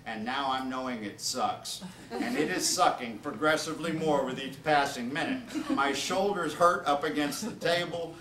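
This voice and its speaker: A man reading comedic stories aloud as an author at a live event, with informal asides to his listeners. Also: medium pitch. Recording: roomy, distant, noisy live event recording.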